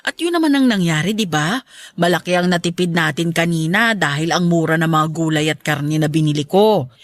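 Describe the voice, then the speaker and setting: A woman's voice: lowish voice, expressive, brisk, no echo, lightly acted. A woman playing a protective, frugal, aging mother in a radio drama, chatty and affectionately stubborn. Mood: caring. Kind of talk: Filipino radio drama acting.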